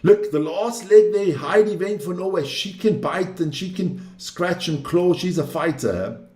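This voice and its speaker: Male livestream commentator, about fifty. High voice, opinionated and sweary, casual delivery, echoey room sound. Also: unhurried, a little mellow. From South Africa, later Switzerland. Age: around 50. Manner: blunt, opinionated ranting with frequent swearing and "man" tags. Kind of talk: casual off-the-cuff livestream talk with some race commentary. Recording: roomy, echoing room sound.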